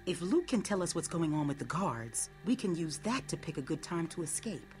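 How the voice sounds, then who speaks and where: Very low-voiced, tough survivor. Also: female voice, sing-song, normal speed, darkish tone, soft background music. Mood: sceptical. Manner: blunt, dry. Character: tough, sceptical, level-headed adult female survivor. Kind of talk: dramatic video game acting.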